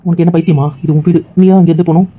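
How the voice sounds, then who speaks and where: A man's voice: fairly high pitch, flat delivery, racing, narrow, tinny audio, natural voice. An actor playing a loyal, hot-headed young male friend who fires off incredulous, indignant questions. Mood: insistent. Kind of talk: emotional drama acting.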